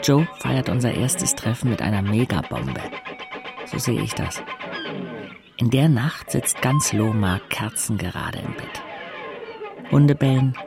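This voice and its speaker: A man's voice: medium pitch, animated, unhurried, creaky, pauses often, over music. An actor playing a wry, observant teenage boy who narrates an audio drama in a dry, laconic way.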